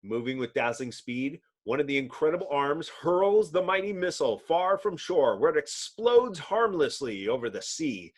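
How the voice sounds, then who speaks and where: A man's voice: on the high side, very animated, moderate pace, few pauses. A man giving a dramatic comic-book narration in a theatrical, suspenseful and emphatic manner. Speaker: narrator, reader.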